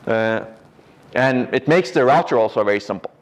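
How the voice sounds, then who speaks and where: A man's voice: mid-range voice, lively intonation, normal speed. A middle-aged man giving a university lecture in a large hall, explaining step by step and often checking in with "right?". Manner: explanatory, pointing at a slide, frequent "right?" and restarts.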